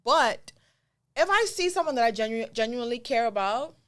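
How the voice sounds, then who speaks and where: A Caribbean-accented woman with a voice a touch higher than average. She chats informally as a podcast co-host, blunt and sassy, leaning hard on her points with plenty of slang. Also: lively intonation, a little fast, fairly bright tone. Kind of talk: casual chat.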